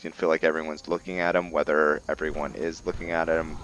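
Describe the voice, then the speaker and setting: Really deep voiced guy doing slow casual gaming commentary, kinda thinking out loud, noisy night crickets in the background. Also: flat delivery, lo-fi sound. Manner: musing, thinking aloud. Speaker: gaming video host. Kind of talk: casual roleplay game commentary.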